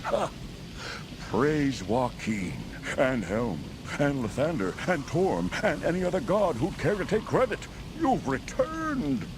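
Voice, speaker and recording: A man's voice: on the high side, very animated, slow, noisy background. A man playing a nervous, self-interested merchant in a fantasy video game, dramatic and a bit hesitant. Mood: relieved. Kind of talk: video game character acting.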